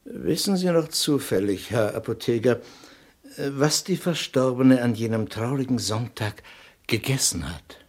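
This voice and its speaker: An actor playing a wry, deceptively polite detective in a 1960s radio crime play, probing quietly in a husky, hesitant, insinuating way. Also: male voice, mid-range voice, expressive, unhurried.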